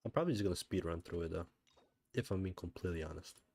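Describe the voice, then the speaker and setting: A man with a low voice streams a video game. He chats casually, half to himself, in an offhand way. He often repeats his own phrases as he goes. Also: rapid-fire, gravelly, mellow. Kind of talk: casual gameplay commentary.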